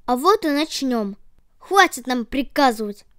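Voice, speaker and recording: A woman's voice: sits high, animated, a little slow, fairly bright tone, smooth, no room sound, clean studio sound. A woman voicing defiant, grumbling hands in a children's story.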